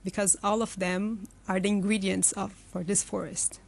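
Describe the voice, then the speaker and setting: A woman's voice: medium pitch, level tone, normal speed, vocal fry. A young woman from Brazil, a guest in an interview, explaining her work thoughtfully with many fillers and restarts. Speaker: forest restoration guest. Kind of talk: conversational interview answers.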